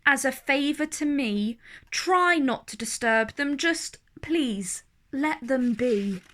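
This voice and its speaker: Big kindly storybook elephant done by a lady reader, earnest n pleading, high bright voice. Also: animated, slow pace, heavily acted. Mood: sincere, pleading. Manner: earnest, rhyming storybook delivery.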